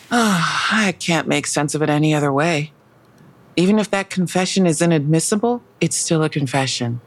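Audio drama detective: weary, guarded, hesitant, with plenty of vocal fry. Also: female voice, low-pitched, animated, normal speed. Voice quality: creaky. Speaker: actor in a fiction podcast. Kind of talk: naturalistic audio drama acting.

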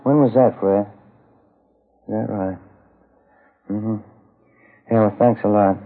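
An actor playing a plain-spoken, easygoing police detective in a 1950s radio drama, talking in a clipped, conversational way. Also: male voice, fairly low pitch, steady tone, slow. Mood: concerned, businesslike. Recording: dull old radio recording.

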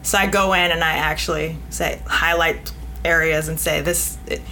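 A woman's voice: low voice, steady tone, moderate pace, bright, forceful tone, slight background noise, poor audio. A woman speaking frankly and emphatically as an editor being interviewed, joking and acting out examples as she explains. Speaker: magazine editor, podcast guest. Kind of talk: animated panel interview talk.

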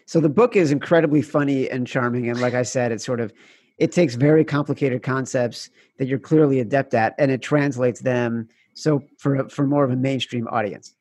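A man's voice: mid-range voice, normal speed, a little mellow, no room sound. A man interviewing an author, speaking casually and often saying "like". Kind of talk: conversational interview.

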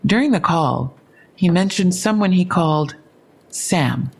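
An actress playing a frank, inquisitive police investigator in an audio drama, matter-of-fact and wry, with a husky, creaky voice. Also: female voice, lowish voice, animated, a little slow, full character voice. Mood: matter-of-fact, probing. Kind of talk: full-cast audio drama dialogue.

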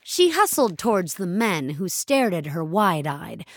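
A woman's voice: lowish voice, very animated, a little slow, dry recording. A woman reading scripted audio fiction as a dramatic narrator.

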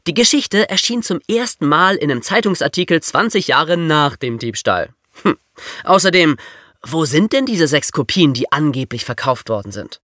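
Excitable, high-voiced young storyteller boy. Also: normal speed, dry recording, clean studio sound. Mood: animated, debunking. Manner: eager, animated storyteller. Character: excitable, chatty boy sharing investigated theories. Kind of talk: scripted podcast banter and storytelling.

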